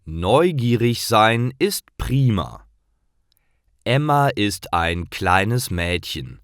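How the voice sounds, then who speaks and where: A man narrating a scripted story for beginning language learners, in measured, simple phrases. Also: lowish voice, very animated, a bit bright, no echo, studio quality. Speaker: narrator of German learning stories.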